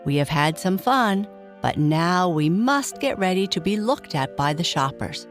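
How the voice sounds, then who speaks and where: A watchful toy monkey from a storybook, performed by a woman, bossy and exclamatory. The delivery is animated, over a music bed. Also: lowish voice, measured pace. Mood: cautioning.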